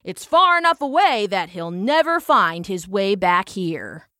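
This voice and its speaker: A rough, mocking burly workman, as a woman storyteller puts him on. He talks slow and heavy, with plenty of swing in his voice. The sound is close and dry. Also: sing-song, bright, forceful tone, no echo. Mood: gruff mocking. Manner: gruff, mocking, lowered voice.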